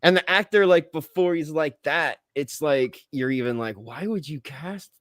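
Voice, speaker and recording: A man co-hosting a casual movie review podcast, talking in an excitable, blunt way with plenty of swearing. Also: fairly high pitch, normal speed, no room sound, clean studio sound. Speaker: podcast co-host.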